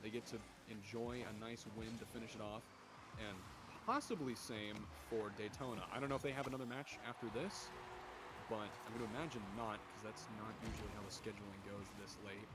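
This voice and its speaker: A young man with a deep voice streaming esports commentary from home, chatting with viewers and calling plays. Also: a little fast, very noisy. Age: young adult. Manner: rambling, chatty, restarts often, bursts louder on goals. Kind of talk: casual solo game commentary and chat reading. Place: home room.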